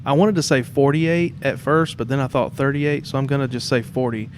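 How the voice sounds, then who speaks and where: Guy hosting a podcast, laid-back chatty style, regular midrange voice, some clear background noise. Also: mid-range voice, fairly even intonation, fairly quick. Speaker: podcast host. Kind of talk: casual podcast conversation. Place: shared studio space in a theater.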